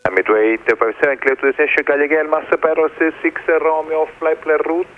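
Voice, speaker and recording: A man's voice: a little high, fairly even intonation, fast talker. Man, Italian accent, air traffic controller, procedural delivery, tinny radio channel, slight noise. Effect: aviation radio. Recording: narrow, phone-like radio with faint static.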